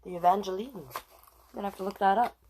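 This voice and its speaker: A woman's voice: medium pitch, a little fast, slight background noise, rough recording. A woman hosting a storytime, reading a children's picture book expressively with chatty asides to her viewers. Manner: expressive, chatty, addresses live viewers between passages. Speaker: storytime host and drag king reader.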